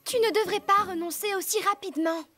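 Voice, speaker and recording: A woman voicing a kind, earnest teenage girl rider in a French cartoon dub, expressive and encouraging. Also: very high voice, brisk, a little hiss or hum. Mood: earnest, reassuring.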